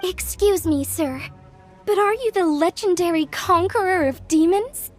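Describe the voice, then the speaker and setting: A woman's voice: extremely high pitch, unhurried. A woman playing an earnest young woman in a fantasy video game, speaking articulately. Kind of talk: video game cutscene acting.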